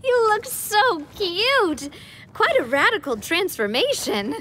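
Gracious high-voiced young ruler. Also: female voice, sing-song, takes it slowly, pushed and bright, a little hiss or hum, exaggerated voice. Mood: delighted, awkward. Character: gracious young queenly woman, warm and playful. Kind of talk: video game voice acting.

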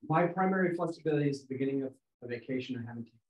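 A man chatting briskly and sociably with a group on a video call before excusing himself. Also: mid-range voice, roomy sound, highs cut off. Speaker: scientist leaving a group call. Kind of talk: casual chat.

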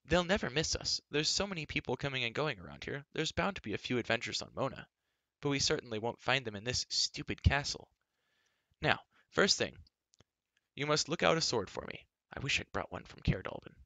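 Man voicing a high-pitched, spirited storybook girl. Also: very animated, brisk, sharp tone, no room sound. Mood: eager, chattering. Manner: chattering, emphatic, indignant. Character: spirited, talkative, headstrong adventurous young girl. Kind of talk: acted audiobook dialogue.

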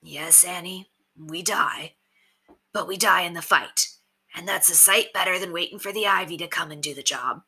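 A woman playing a male bandit king in an audiobook story, grave and resolute, speaking in a slow, direct way with rustic phrasing. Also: lowish voice, pushed and bright, breathy edge, exaggerated voice. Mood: calm, resolute.

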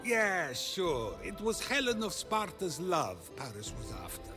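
An actor playing a dim, boastful king of the gods in a comedic video game, full of excitable bluster. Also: high-pitched, measured pace, over music. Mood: defensive.

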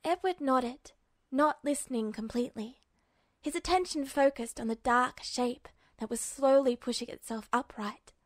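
High-voiced woman narrating a story. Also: moderate pace, dry recording, clean studio sound. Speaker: podfic narrator. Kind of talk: scripted fan fiction narration.

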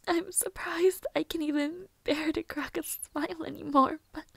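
A woman playing a fragile, devoted young maid in an intimate audio roleplay, speaking in a breathy, hesitant, tender whisper. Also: sits high, fairly even intonation, moderate pace, a bit bright, no echo. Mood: grateful, wounded.

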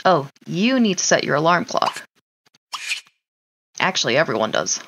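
A woman's voice: low-pitched, sing-song, moderate pace, a bit bright, dull audio, a little hiss or hum. A woman casually commenting on her life-simulation gameplay, chatting to her sims and laughing often.

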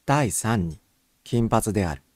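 A man voicing a polite, methodical gentleman who explains things in orderly fashion as an amateur detective in an audiobook. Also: fairly low pitch, expressive, a little slow, husky, no echo, clean studio sound. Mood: reasoning, confident.

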